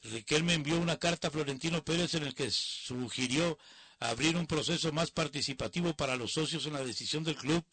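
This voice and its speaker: A man's voice: a little high, a little fast, fairly bright tone, breathy edge, no room sound. A man reading news items aloud and breaking in with mocking, opinionated asides. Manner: mocking, opinionated asides between read passages. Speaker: news commentator. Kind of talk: reading news with casual commentary.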